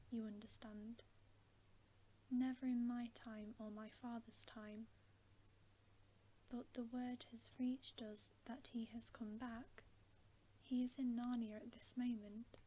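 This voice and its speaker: A woman whispering the part of a gloomy, knowing old male beaver in a storybook, in slow, homely speech. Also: on the high side, warm tone, husky, poor audio. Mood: assured.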